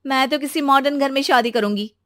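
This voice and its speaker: A woman's voice: high-pitched, brisk, a bit bright, no room sound, studio quality. A woman voicing an outspoken, picky modern young bride-to-be in a cartoon moral story. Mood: defiant. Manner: sassy, assertive.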